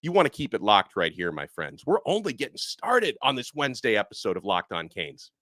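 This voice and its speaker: A man hosting a casual sports podcast, animated and excitable, his voice a little hoarse from yelling. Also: on the low side, brisk, no echo, studio quality. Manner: animated, excitable, with frequent fillers. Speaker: podcast host.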